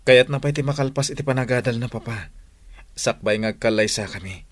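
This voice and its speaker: A man playing a devoted young sweetheart in an audio drama, tender and sincere, with a husky voice. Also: medium pitch, fairly quick, natural voice. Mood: respectful.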